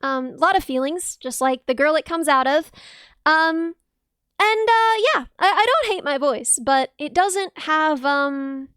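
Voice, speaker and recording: Young woman streamer: bright, high, slightly piercing voice, bubbly and gushing, dry close sound. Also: measured pace, no room sound. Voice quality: high, dense, a little piercing. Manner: bubbly, gushes thanks with shrieks of excitement. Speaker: streamer and musician. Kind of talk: casual livestream chat with viewers.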